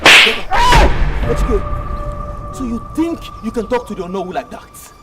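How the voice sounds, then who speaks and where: Swaggering young Nigerian village tough, high and animated, confrontational drama delivery over crowd noise. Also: male voice, moderate pace, distant mic. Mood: angry, threatening. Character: angry, swaggering young village youth enforcer. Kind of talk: film drama acting, loud confrontation. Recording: loud music and crowd behind, outdoors.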